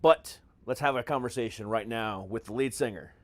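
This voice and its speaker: A man's voice: medium pitch, moderate pace. A middle-aged American man hosting a podcast in casual, profane talk, with a brash, mock-grandiose showman's manner. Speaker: podcast host.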